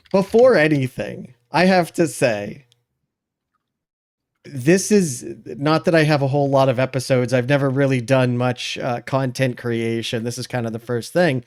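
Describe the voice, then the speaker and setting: A man's voice: medium pitch, a little mellow. A man hosting a show in a casual, informal and enthusiastic way. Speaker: podcast host.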